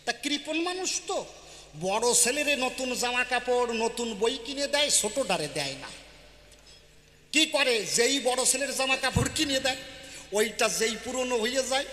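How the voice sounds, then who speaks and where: A man's voice: very high-pitched, lively intonation, a little slow, sharp tone. A man telling comic stories on stage, conversational, repeating phrases and checking in with listeners. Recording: very echoey hall, faint noise.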